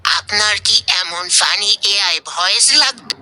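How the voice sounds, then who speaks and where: A woman's voice: mid-range voice, a little slow, over a noisy crowd, pitched below the performer's own voice. A woman voicing a funny, sassy cat for a short comic video, coy and showy, through a trickster voice-changer effect. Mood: playful, sassy. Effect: trickster voice-changer filter.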